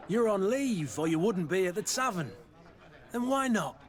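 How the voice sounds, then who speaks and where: Brief: mercenary captain, fairly high male voice, curt, firm, commanding, game drama. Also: lively intonation, moderate pace. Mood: brisk, urging. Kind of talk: video game character acting.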